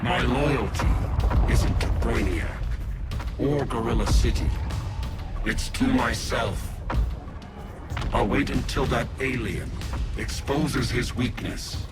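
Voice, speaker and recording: An actor playing an arrogant, treacherous gorilla warlord in a video game, with a menacing, grandiose, deliberate delivery. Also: male voice, medium pitch, close to an everyday voice. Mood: gloating, arrogant. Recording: loud music under game dialogue.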